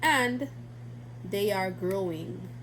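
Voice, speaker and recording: A woman's voice: medium pitch, expressive, extremely slow, fairly bright tone, a little hiss or hum, low-quality recording. A young woman vlogger with a stuffy, congested voice, chatting in a rambling, casual way with many fillers and restarts. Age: young adult. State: stuffy from a cold. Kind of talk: casual storytelling to camera.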